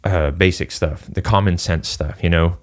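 Deep low guy from singapore, fitness coach on a podcast, rambly, lots of "you know". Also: steady tone, moderate pace. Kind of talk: casual podcast conversation.

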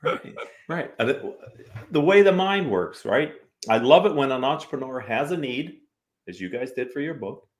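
An American man hosts a business interview show. His voice sits at a medium pitch for a man, and he speaks conversationally to guests and listeners. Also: lively intonation, normal speed. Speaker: talk show host.

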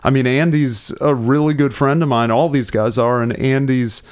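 A man's voice: mid-range voice, steady tone, normal speed, smooth. A man in his twenties talking casually as a musician guest, rambling and laid-back with lots of fillers. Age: 20s. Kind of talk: casual conversation.

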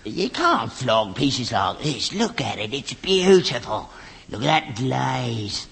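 A man's voice: medium pitch, sing-song, slow pace, gravelly, fairly bright tone, husky, heavily acted. An actor playing a crotchety old London rag-and-bone man in a British sitcom, a whining, melodramatic father. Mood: satisfied, stubborn. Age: older. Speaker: actor in a comedy. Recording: reverberant, noisy old sitcom recording.

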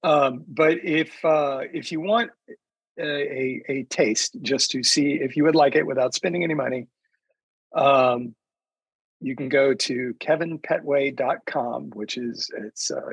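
A man with a gravelly, husky voice, chatting haltingly as a podcast guest. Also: medium pitch, no echo. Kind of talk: casual chat. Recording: dull lo-fi audio.

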